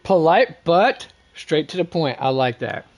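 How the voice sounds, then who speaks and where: A man livestreaming a video game, chatting casually in a halting, rambling way. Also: a little high, big pitch swings. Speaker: game streamer. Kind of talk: casual livestream chat over gameplay.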